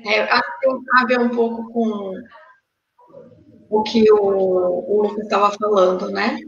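A woman's voice: medium pitch, level tone, a little slow, creaky, a bit bright, roomy sound, lo-fi sound. A woman moderating a panel over a video call, reading out audience questions with hesitant filler sounds. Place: home room, indoors.

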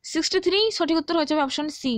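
A woman's voice: high voice, very fast, dry recording, studio quality. A woman teaching through an exam answer key in an instructional way, often checking in with listeners.

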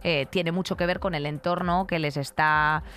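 A woman's voice: deep voice, steady tone, normal speed, fairly bright tone, faint music behind. A woman in her thirties with a Castilian accent from Spain, giving blunt, emphatic, colloquial opinions as a podcast co-host. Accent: Castilian Spanish from Spain. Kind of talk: casual opinionated commentary. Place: radio-style podcast studio. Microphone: close studio microphone on desk.

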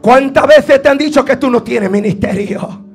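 A man delivers a fervent Pentecostal sermon in a very high, strained voice with a gravelly edge. He exhorts insistently, repeating his phrases. Faint music plays behind him. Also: moderate pace. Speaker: preacher. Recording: preaching over faint background music.

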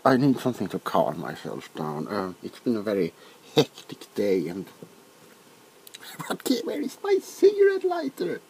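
A soft-spoken man playing a nervous, eccentric doctor in a comedic ASMR roleplay, hesitant and repetitive, often dropping into whispers. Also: fairly low pitch, huge pitch swings, a little slow, a little hiss or hum, voice pushed down, full character voice, rough recording. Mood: stressed, flustered. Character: nervous, flustered, eccentric male doctor examining a monster. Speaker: ASMR performer. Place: small cluttered room at home. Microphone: close to the camera.

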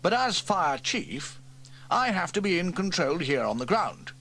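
A man voicing a pompous, blustering male fire chief in a storybook reading, pitched well above his own voice. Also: very animated, unhurried, gravelly, pushed and bright, exaggerated voice. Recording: faint tape hiss from old cassette.

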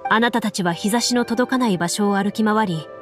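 A woman narrating a story as a game master in a measured, formal manner, like scripted game voice acting. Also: mid-range voice. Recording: soft music under the voice. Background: background music.

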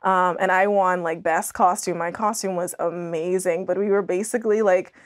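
A woman's voice: fairly low pitch, steady tone, moderate pace. A young woman from the Bahamas, talking casually as an interview guest, often dropping in "like" and "you know". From Andros, Bahamas. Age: young adult. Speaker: podcast guest, scientist and author. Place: small indoor podcast studio.

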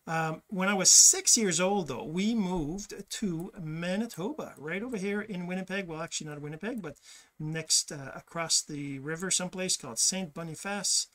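A man's voice: sits high, normal speed, a bit bright. Man, middle-aged, casual host monologue, rambling, self-correcting, desk webcam, small home office. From Quebec, Canada. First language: French. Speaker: podcast host. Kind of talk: casual monologue with screen share.

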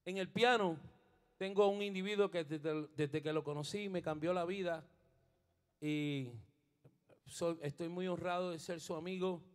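A middle-aged bandleader with a fairly high voice speaks into a stand mic on a studio stage. He plays bass as he talks. He slips between Spanish and English and hesitates over his words before rising into fervent, sermon-like encouragement. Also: fairly even intonation, unhurried, clean tone. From Puerto Rico. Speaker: bandleader and bassist. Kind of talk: onstage band introductions and spiritual encouragement. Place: indoor studio stage with a band. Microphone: stand microphone while playing bass.

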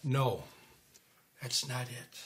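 Earnest older American man, unhurried. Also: mid-range voice, fairly bright tone. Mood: hesitant, confessing. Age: 60s. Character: earnest, guilt-ridden older man, loyal old friend. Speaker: actor. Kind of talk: naturalistic film dialogue.

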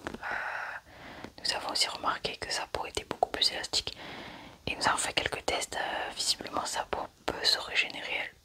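Whispering woman, soft ASMR roleplay. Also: a little fast. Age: young adult.

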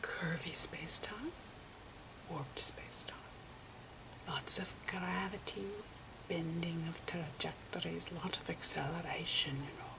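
A woman voicing an eccentric elderly male physicist, whispering a halting, patient ASMR roleplay lecture. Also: fairly low pitch, fairly even intonation, distant mic, noisy background. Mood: hushed, wondering. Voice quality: breathy whisper. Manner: halting, repetitive, patiently explaining. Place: indoors at a slate chalkboard.

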